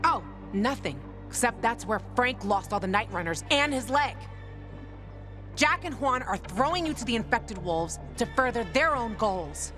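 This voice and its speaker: Woman as blunt sardonic young survivor lady in a game, really lively pitch, music behind her. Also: normal speed, close to an everyday voice. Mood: scornful anger. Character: tough, protective, foul-mouthed young woman survivor. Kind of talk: video game voice acting.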